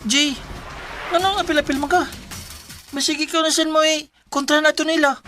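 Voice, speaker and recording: A man's voice: extremely high pitch, fairly even intonation, brisk, pushed and bright, faint music behind. An actor playing a brash, easily flustered young man in a Bisaya radio drama, with a Cebuano Filipino accent. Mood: startled, protesting. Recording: clearly noisy track with clicks.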